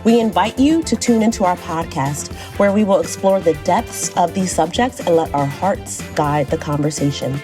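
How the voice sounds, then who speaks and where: A woman reading a scripted podcast introduction in a measured, earnest way. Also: on the low side, over music.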